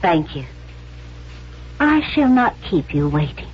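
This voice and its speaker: An actress playing a proud, poised stage performer accused of spying, theatrical and dignified, in a 1970s radio drama. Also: female voice, lowish voice, big pitch swings, slow. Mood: resigned, dignified. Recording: dull vintage radio recording.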